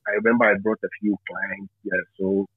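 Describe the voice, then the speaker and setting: Over a tinny, phone-like line, a middle-aged man with a Ghanaian accent answers interview questions reflectively. His low voice is husky, and he speaks haltingly, repeating phrases for emphasis. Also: level tone, dry recording. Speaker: interview guest, media and marketing professional.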